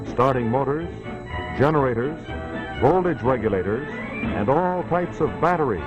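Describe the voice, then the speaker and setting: A man's voice: medium pitch, lo-fi sound. A man announcing a scripted 1940s sponsor message on a vintage television soundtrack. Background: loud music.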